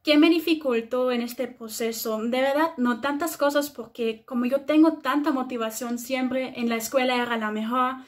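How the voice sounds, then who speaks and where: 21, eager learner voice, fairly high. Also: female voice, steady tone, moderate pace, few pauses. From Germany. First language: German. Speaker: interviewee.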